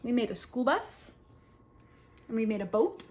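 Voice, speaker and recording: A woman's voice: fairly high pitch, animated, measured pace, darkish tone. A woman reading a picture book aloud at story time, expressively, with little asides to a small child.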